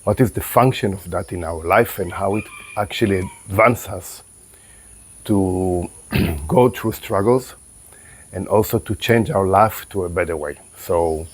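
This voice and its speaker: A man whose first language is Hebrew, a qigong and tai chi teacher speaking calmly and reflectively to his viewers, his voice a little closed. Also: deep voice. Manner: calm, unhurried, with pauses and restarts. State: voice a bit closed after meditation. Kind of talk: reflective spoken talk to camera.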